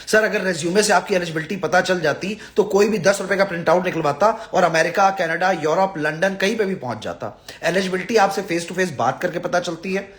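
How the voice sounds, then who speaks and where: A man's voice: high-pitched, fairly quick, hardly any pauses, fairly bright tone. A man addresses followers on a live stream, blunt and exasperated, scolding and pleading with emphatic repetition. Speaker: visa advisor and live-stream host.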